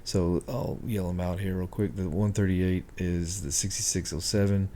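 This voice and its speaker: A man walking through market charts on a screen share, thinking aloud with many ums and a hoarse voice. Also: deep voice, level tone, normal speed, warm tone, a little hiss or hum. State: hoarse, clearing his throat. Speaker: market analyst.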